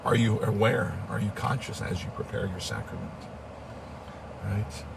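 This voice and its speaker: A middle-aged man with a cold, hoarse and murmuring, leading a meditative live-streamed ceremony. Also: fairly low pitch, steady tone, recorded from a distance, heavy background noise. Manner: slow, meditative, murmuring thanks. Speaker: spiritual leader.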